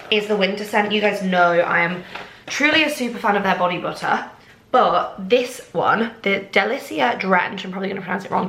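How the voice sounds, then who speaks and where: A woman's voice: medium pitch, noisy background. Bubbly snuffly young woman vlogging at home. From England. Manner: bubbly, rambling, lots of "like" and "oh my gosh". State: snuffly, a bit congested. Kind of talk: casual chatty vlog to camera. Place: home kitchen, indoors. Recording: echoey room.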